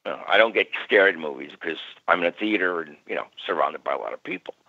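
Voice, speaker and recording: An older male parapsychologist telling stories as a radio guest, lively, acting out quoted voices. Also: low-pitched, fast, creaky. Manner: animated, acts out quoted voices. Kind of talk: storytelling in a radio interview. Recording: muffled radio audio.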